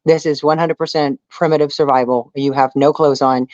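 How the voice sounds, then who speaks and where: A woman's voice: low-pitched, fairly even intonation, brisk, a little mellow, dry recording, muffled recording. A woman giving a casual interview, talking in an informal, emphatic storytelling way. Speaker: reality show castaway being interviewed.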